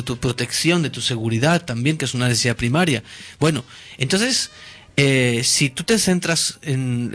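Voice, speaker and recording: A man's voice: on the high side, a bit bright. A man explaining ideas in casual conversation, hesitant, with many fillers and restarts.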